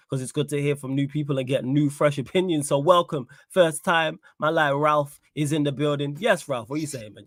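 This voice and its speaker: A chatty man runs a fan call-in, easy and encouraging. His voice sits a little high. The sound is close and dry, with no room around it. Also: no echo. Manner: laid-back, chatty, encouraging callers. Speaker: call-in show host. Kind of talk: casual chat.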